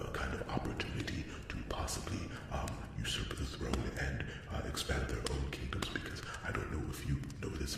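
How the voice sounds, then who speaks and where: A man playing an awkward, lonely male dwarf blacksmith in a fantasy ASMR roleplay, rambling earnestly with a halting stammer in a very deep, gravelly voice. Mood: solemn, worried. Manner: stammering, hesitant, repetitive, earnest. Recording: very echoey, loud noise, distant mic.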